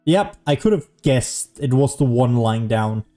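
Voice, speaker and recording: Game streamer, casual commentary, playful sarcasm, soft mellow tone, light background music. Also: mid-range voice, animated, unhurried.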